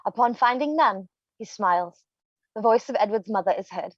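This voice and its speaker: A woman's voice: mid-range voice, expressive, moderate pace, no room sound. A woman reading stage directions as scripted narration, on a slightly dull microphone.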